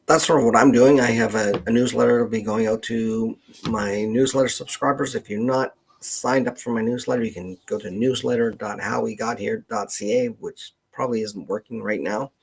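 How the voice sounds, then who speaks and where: A man with a Canadian accent hosting a casual livestream chat, meandering and thinking aloud in a halting way. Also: lowish voice, breathy edge. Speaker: livestream host, genealogist.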